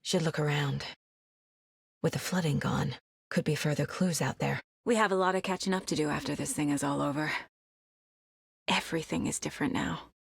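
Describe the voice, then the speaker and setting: An actress playing a determined, wry federal agent investigating supernatural crimes in a video game, measured and matter-of-fact. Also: female voice, sits low, gravelly, breathy edge, dry recording, studio quality, full character voice. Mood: pensive. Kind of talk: scripted game dialogue, investigative monologue.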